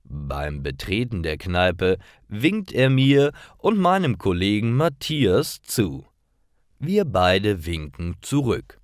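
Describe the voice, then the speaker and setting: A man narrates simple stories for language learners in a very deep voice. He reads slowly and carefully, with expressive rises and falls in his intonation. Each short sentence is delivered deliberately as scripted narration. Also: no echo, studio quality.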